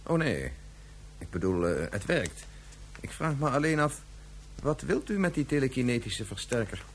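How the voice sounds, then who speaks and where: Animated, idealistic male scientist. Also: medium pitch, moderate pace, slight background noise. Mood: uneasy. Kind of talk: Dutch science fiction radio drama acting.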